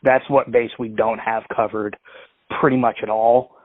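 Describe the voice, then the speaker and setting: A man's voice: medium pitch, level tone, normal speed, no echo. Rambling man on a tinny phone line. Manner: rambling, full of fillers and restarts. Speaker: prank caller. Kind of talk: prank phone call.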